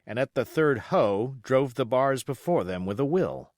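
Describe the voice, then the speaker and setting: A man reading a classic adventure novel aloud as an audiobook narrator, in an expressive storytelling manner. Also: medium pitch, measured pace, no room sound, studio quality. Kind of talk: scripted literary narration.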